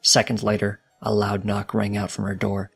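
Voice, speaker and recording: A man reading fiction aloud as a dramatic, solemn narrator with a deliberate delivery. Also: on the low side, completely flat delivery, creaky, husky, faint music behind. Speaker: fanfiction narrator.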